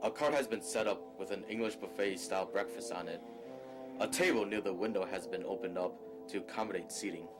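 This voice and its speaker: A man narrating an audio drama, reading scripted stage directions. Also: low-pitched.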